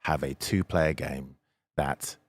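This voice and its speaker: A man from the United Kingdom with a low voice gives opinions as a podcast co-host. He talks casually but emphatically, repeating words for stress, in a dry recording with little room sound. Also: steady tone, takes it slowly, no room sound.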